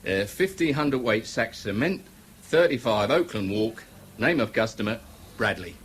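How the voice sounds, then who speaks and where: An actor playing a flustered, defensive builders' merchant in a British radio drama, halting as he talks. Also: on the low side, expressive, slightly rough.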